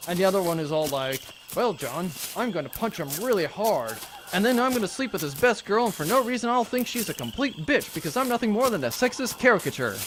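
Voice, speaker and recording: Exasperated gamer geek, male actor: casual rambling rant, high-ish and very animated, comedy audio drama, noisy background. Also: fast talker. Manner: casual, ranting, profane. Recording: clear background noise.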